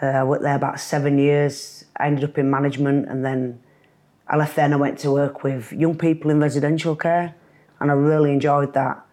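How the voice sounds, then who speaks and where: A woman's voice: low voice, level tone, a little fast, dark tone. A middle-aged woman from Leeds speaks with a Yorkshire accent. She is a guest on a casual podcast, telling stories in a chatty way and laughing often. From Leeds, England.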